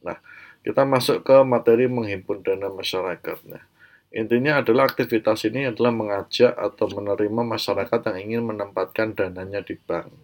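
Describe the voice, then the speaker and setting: A man's voice: lowish voice, steady tone, brisk. An Indonesian man with a mild cold, presenting an informal, explanatory slide lecture and adding short check-in tags as he goes. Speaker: presenter of an educational banking channel.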